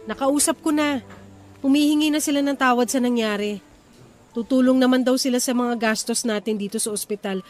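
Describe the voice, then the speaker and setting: A steadfast, soothing wife and mother played by an actress in a radio drama. She speaks in a high, earnest voice, reassuring and firm, over faint music. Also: brisk, darkish tone, close to an everyday voice.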